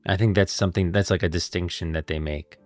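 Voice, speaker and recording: A man's voice: low voice, fairly even intonation, quick pace, faint music behind. A man giving casual, opinionated live commentary on game lore as a streamer, often repeating and rephrasing his points.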